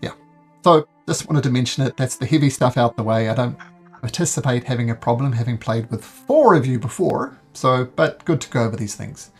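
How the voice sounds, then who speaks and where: DM, New Zealand man, accent tinged North American, slightly husky voice, faint music under. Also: medium pitch, brisk. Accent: New Zealand mixed with North American. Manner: earnest, with frequent ums and restarts. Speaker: dungeon master. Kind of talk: explaining game rules to players. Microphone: voice call microphone.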